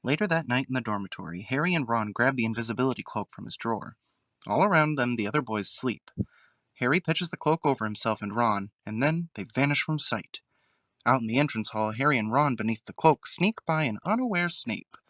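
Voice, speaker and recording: A man's voice: mid-range voice, animated, fairly quick, fairly bright tone, no room sound. Dry-recorded male audio drama narrator.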